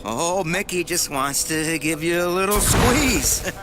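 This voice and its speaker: A man's voice: on the high side, expressive, slow. An actor playing a creepy, leering older man in a video game, coaxing a child with false affection. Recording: loud music over the voice.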